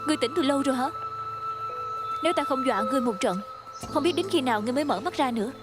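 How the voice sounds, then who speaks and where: A high-voiced young healer, music behind. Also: female voice, lively intonation, very fast. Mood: teasing scolding. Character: young female healer of a secret tribe, caring but scolding. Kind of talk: dubbed fantasy costume drama acting.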